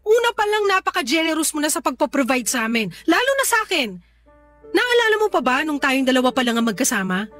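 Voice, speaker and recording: A woman's voice: sits high, big pitch swings, quick pace, a bit bright, faint music behind. A Filipino actress playing an insistent, pleading, scheming girlfriend in an emotional Tagalog radio drama. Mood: soft, earnest. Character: pleading, scheming first girlfriend in a polyamorous household.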